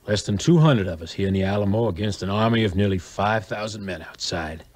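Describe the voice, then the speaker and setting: Man playing low, grave American fort commander. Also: brisk, a little mellow, soft background music, natural voice. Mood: grim, weary. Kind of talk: 1950s audio play acting. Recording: 1950s vinyl record audio play.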